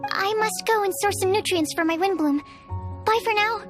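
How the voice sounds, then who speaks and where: High-pitched, geeky young alchemist girl. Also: moderate pace, fairly bright tone, faint music behind. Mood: fervent, excited. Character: shy, geeky young female alchemist, fervently enthusiastic. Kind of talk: video game character acting.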